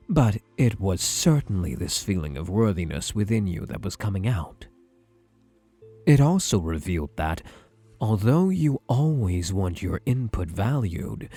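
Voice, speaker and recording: A man acting as a possessive male psychiatrist in an ASMR roleplay, with a low, husky voice. He speaks in measured, soothing clinical phrases with a widely moving melody, sounding quietly self-assured. Faint music plays underneath. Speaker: roleplay voice actor. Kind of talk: ASMR roleplay, scripted monologue to the listener.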